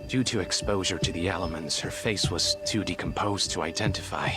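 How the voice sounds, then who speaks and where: An actor playing a reserved, formal middle-aged male clinic director with a measured delivery in an English video game dub. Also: low-pitched, music in the background, natural voice. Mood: somber.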